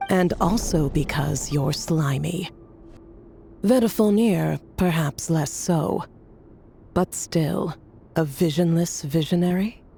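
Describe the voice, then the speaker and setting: An actress voicing a sardonic, shrewd woman in a video game, with a husky, creaky voice and a dry, teasing manner. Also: sits low, slow pace, mellow, heavily acted. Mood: mocking. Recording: game music faintly behind.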